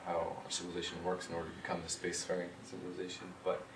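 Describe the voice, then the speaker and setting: A young man answering interview questions in a relaxed way, thinking aloud with lots of ums and uhs. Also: sits low, very fast. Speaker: interviewee, rover systems engineer. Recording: roomy, distant mic, clear background noise.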